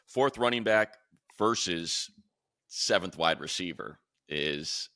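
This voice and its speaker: A man's voice: low voice, animated, slow pace, bright, forceful tone, no echo, studio quality. A man hosting a sports podcast, talking through football opinions in a casual, conversational style. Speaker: sports podcast host and radio play-by-play announcer.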